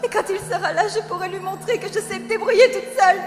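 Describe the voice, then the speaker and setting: A woman's voice: very high voice, fairly even intonation, fast talker. A woman voicing a tormented, defiant little girl devoted to her brother in a French anime fandub, with emotional, tearful delivery. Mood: sorrowful confession. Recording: long hall reverb under music.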